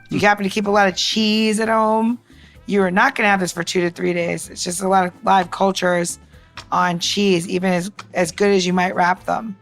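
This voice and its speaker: A woman gives a casual drink-making demonstration over music. She is chatty and rambles along, dropping in playful asides as she goes. Her pitch sits in an ordinary middle range. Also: level tone, moderate pace. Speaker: co-host and bartender. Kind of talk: casual cocktail recipe demonstration.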